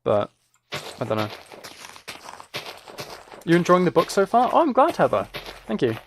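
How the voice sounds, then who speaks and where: A man's voice: high-pitched, wildly swooping pitch, moderate pace, slight background noise. A man chats casually with viewers as he plays a game, rambling and musing aloud. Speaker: live streamer. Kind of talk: casual chat with viewers while gaming.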